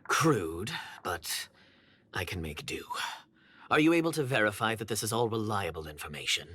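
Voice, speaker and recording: An actor playing a shrewd, secretive foreign agent in a video game, with a measured, formal, condescending manner. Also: male voice, lowish voice, expressive, sharp tone, slight background noise. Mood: disdainful.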